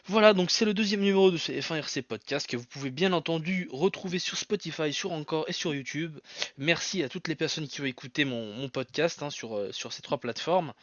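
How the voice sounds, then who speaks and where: A man's voice: fairly high pitch, brisk, dry recording, lo-fi sound. A man hosting his own podcast alone, with a Portuguese mother tongue, talking in a hesitant way full of fillers and restarts. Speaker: podcast host. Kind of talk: solo podcast talk.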